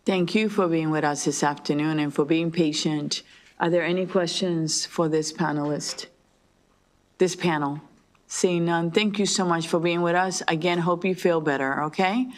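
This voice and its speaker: A woman's voice: on the low side, steady tone, moderate pace. A woman chairing a legislative committee hearing, polite and procedural as she calls witnesses.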